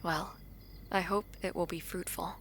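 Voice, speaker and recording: A woman playing a guarded, proud princess in a fantasy audio drama, speaking formally and deliberately with breathy phrase endings. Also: fairly low pitch, fairly even intonation, background noise, close to an everyday voice. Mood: guarded, reflective. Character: guarded, regal princess, a proud and protective sister.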